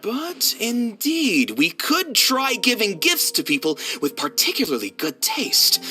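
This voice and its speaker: Fussy, bright-voiced theatre manager. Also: male voice, high-pitched, full character voice. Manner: fussy, emphatic, formal. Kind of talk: game character acting.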